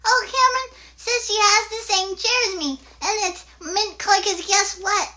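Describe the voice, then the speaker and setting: A woman voicing a chatty, excitable little girl puppet in an interactive children's show, rambling eagerly like a child. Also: extremely high pitch, exaggerated voice.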